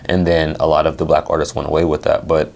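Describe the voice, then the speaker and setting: A man with an extremely low, deep voice, speaking quickly in a relaxed, chatty way. He is a young guest on an interview and often drops "like" between his thoughts. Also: gravelly. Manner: fast, relaxed, says "like" often. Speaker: guest singer and painter. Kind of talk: casual interview conversation.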